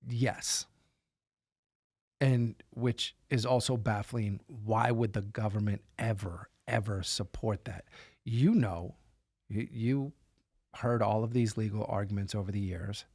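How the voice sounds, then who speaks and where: A man's voice: mid-range voice, a little mellow, dry recording, studio quality. A man telling a personal story in conversational podcast style, with an emphatic, incredulous manner. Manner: emphatic, incredulous, repeats words for stress. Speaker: podcast host telling his own story.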